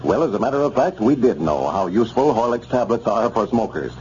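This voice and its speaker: A male announcer from 1930s radio delivers polished sponsor copy with lively, swooping intonation. His tone is smooth-edged and dark. The recording is dull, with faint noise. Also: lowish voice, brisk. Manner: polished, formal.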